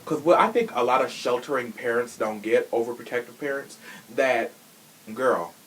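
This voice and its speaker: A man's voice: mid-range voice, normal speed, fairly bright tone, rough recording. A man hosting a podcast in a casual chat, with a sassy, slangy and opinionated way of talking. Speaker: podcast host.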